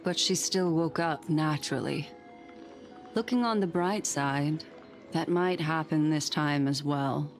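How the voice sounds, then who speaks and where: Composed game character, low voice, creaky, analytical, quietly concerned, faint music. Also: female voice, measured pace. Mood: calm, concerned. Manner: composed, reasoning. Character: calm, analytical adult woman, quietly concerned. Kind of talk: anime game voice acting.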